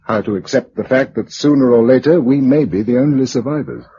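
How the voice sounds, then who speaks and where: An actor with an English accent playing a calm, practical, protective man surviving a catastrophe in a vintage radio drama, speaking in a measured way. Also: medium pitch, natural voice. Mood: matter-of-fact. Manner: measured, matter-of-fact. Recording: dull old radio recording with noise.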